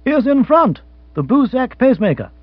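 A man's voice: very high-pitched, big pitch swings, moderate pace, no echo, muffled recording, voice pushed up, exaggerated voice. A man reading an audiobook, voicing a male radio horse-race commentator calling the race in a rising, eager rush. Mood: excited.

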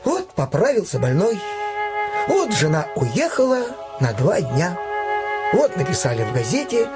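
A high, highly animated voice of a cowed, resigned doctor in a theatrical radio play. He is agitated and world-weary, stretching his hesitations over a clear music bed. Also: measured pace, full character voice. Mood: bitter, resigned. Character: cowed, resigned doctor urging others to give up.

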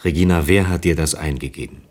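An actor playing an exasperated, disapproving partner in a naturalistic radio play, stern and terse, with a very deep, rough-edged voice. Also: male voice, quick pace, darkish tone. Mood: reproachful.

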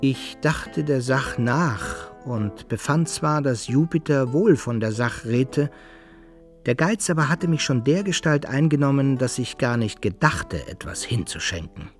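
A man narrating an old adventure tale in a radio play, with expressive, dramatic storytelling. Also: medium pitch, measured pace, soft background music. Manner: expressive storytelling, archaic wording.

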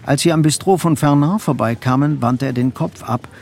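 An actor narrating a bleak literary crime tale for radio drama in a sober, matter-of-fact manner. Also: male voice, fairly high pitch, normal speed, gravelly, a little mellow, slight background noise. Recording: faint music behind the voice.

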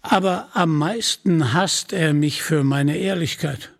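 Man acting a hearty storybook friar, outlawed glutton, frank grumbler, raised pitch, unhurried delivery. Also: gravelly. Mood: frank confession.

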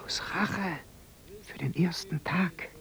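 An actor playing a village man who passes on gossip in a hushed, confiding way. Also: a little high, slow, creaky, full character voice.

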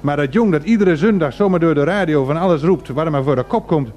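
An actor playing a stubborn, grumbling local man with a Groningen Dutch accent in a radio drama, blunt, gruff and repetitive. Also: fairly high pitch, fast talker, darkish tone, soft background music, close to an everyday voice. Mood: indignant. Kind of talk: radio drama street interview.

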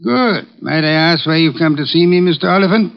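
An actor playing an eccentric, imperious detective and gourmand in an old radio drama, with pompous, precise diction. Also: male voice, fairly high pitch, fairly quick, gravelly. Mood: dry, formal. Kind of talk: 1940s-50s radio drama acting. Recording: dull vintage radio recording.

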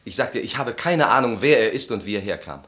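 A man playing a reserved, weary, sardonic gentleman in a German radio play, dryly polite and evasive. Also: fairly low pitch, animated, fairly quick.

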